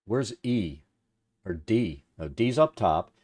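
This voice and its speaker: Middle aged guy w deep radio voice, low pitch, rambling casually to his stream chat while he works. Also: lively intonation, slow, darkish tone, slightly rough. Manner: rambling, informal, thinking aloud. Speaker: livestream host.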